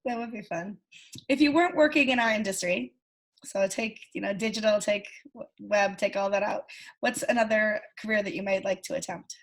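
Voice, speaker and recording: A woman's voice: medium pitch, a little fast, low-quality recording. A woman in her early fifties hosting a video call interview, friendly and chatty, laughing easily. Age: 50s. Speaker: host.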